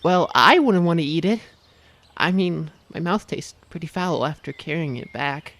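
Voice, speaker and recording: A woman voicing a timid young male apprentice cat who talks shyly and slowly. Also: sits low, lively intonation, fairly bright tone, exaggerated voice. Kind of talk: fan audiobook voice acting.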